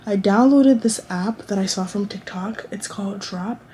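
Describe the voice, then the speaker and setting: A woman's voice: medium pitch, moderate pace, warm tone, slight background noise. A woman in her late teens chatting casually to camera in a college dorm room, rambling with lots of restarts and fillers. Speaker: vlogger.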